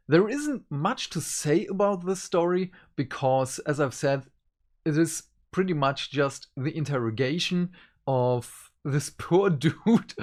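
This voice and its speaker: A host giving a casual review to viewers, speaking in an emphatic, deliberate way. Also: medium pitch. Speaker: book reviewer and channel host.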